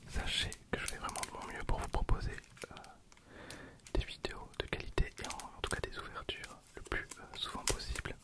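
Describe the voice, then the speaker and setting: A man in his twenties speaks entirely in a hushed whisper. He murmurs casual ASMR commentary in an informal, chatty way, keeping his voice soft and breath-led throughout. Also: a little fast. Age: 20s. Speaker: ASMR video creator.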